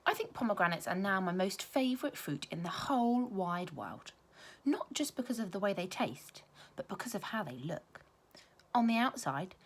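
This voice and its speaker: A woman reads a children's storybook aloud expressively, like a primary teacher, with careful emphasis and dramatic pauses. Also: mid-range voice, slightly rough.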